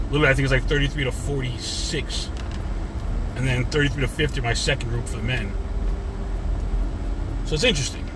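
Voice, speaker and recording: A man in his forties with a deep voice hosts a casual solo podcast from his car, rambling conversationally and going off on tangents. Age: 40s. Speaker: podcast host. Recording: car noise in the background. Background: car and road noise.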